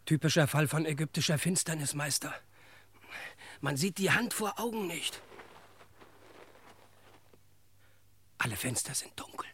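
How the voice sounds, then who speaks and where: An actor playing an eager, quick-witted detective's sidekick in a tongue-in-cheek radio crime play, answering briskly and drily. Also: male voice, on the high side, expressive, fairly bright tone, a little hiss or hum. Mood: hushed. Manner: brisk, dry, pat replies.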